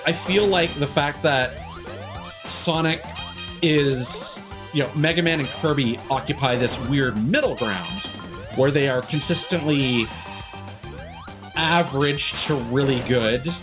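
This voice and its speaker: Higher-voiced man rambling casually over music. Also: slow pace, long pauses. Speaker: game streamer. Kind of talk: casual opinionated rambling on a livestream. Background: music behind the voice.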